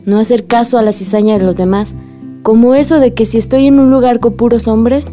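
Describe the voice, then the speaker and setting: An actress playing a calm, principled young woman in a radio drama. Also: on the high side, brisk, faint music behind, close to an everyday voice. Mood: gentle, firm. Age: young adult.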